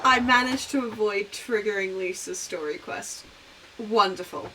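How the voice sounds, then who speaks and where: A woman's voice: mid-range voice, a little slow, noisy background. A woman doing casual livestream game commentary, muttering asides to herself as she plays. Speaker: game streamer. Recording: stream voice with game music behind. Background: game music.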